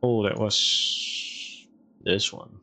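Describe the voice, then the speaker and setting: A man's voice: on the low side, steady tone, drawn-out words, sharp tone. A man giving casual game stream commentary, muttering to himself in short fragments.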